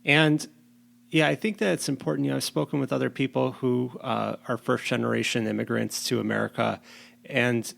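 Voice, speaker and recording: A man's voice: mid-range voice, fairly even intonation, brisk, gravelly, fairly bright tone. A man hosting an interview, asking long, conversational framing questions. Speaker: podcast host.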